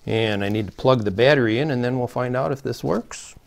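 Gravelly middle-aged man, folksy tutorial. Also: mid-range voice, fairly quick. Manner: folksy, plain-spoken, talking through each step as he works. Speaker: host of a CB radio repair channel. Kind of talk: casual hands-on repair tutorial. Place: small plain indoor room, seated at a table.

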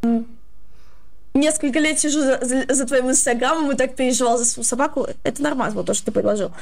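A woman in her twenties giving advice in casual, halting, explanatory talk. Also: sits high, gravelly. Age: 20s.

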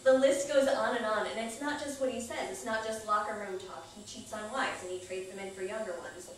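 A woman's voice: medium pitch, fairly even intonation, fairly quick. A woman reading a conversational personal monologue aloud on a small stage. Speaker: performer at a live stage reading. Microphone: distant camera microphone. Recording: echoey theater, far-off camera, faint noise.

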